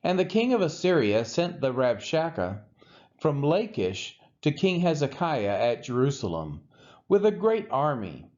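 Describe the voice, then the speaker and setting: A man reads scripture aloud for a church with measured, expressive delivery. His pitch moves a lot from phrase to phrase. The recording sounds dull and lo-fi. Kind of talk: scripted Bible reading.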